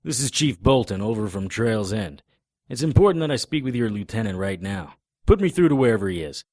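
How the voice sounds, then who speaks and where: A man playing a wry, laconic private detective in a noir audio drama, with deadpan delivery. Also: lowish voice, a little fast, no room sound, clean studio sound. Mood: urgent, firm.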